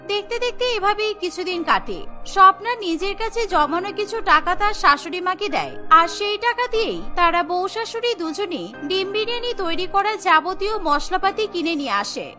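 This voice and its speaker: A woman's voice: very high voice, expressive, a little fast, over music. A woman reading scripted narration for a cartoon story.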